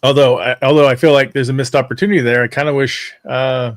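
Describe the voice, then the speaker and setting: A man's voice: medium pitch, steady tone, fast, no room sound, studio quality. A man talking casually as a fan panelist, rambling and thinking aloud with some hesitation.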